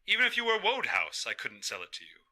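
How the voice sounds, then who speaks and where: A man's voice: sits high, huge pitch swings, fast, pushed and bright, voice pushed up, full character voice. A man voicing a poor, defiant village cheesemaker in a folktale, with an exasperated, pleading manner.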